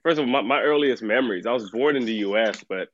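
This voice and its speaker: A middle-aged man from Trinidad, a writer, talking about his work in a relaxed way and laughing often. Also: medium pitch, quick pace, lo-fi sound. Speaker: author guest. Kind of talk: casual podcast conversation.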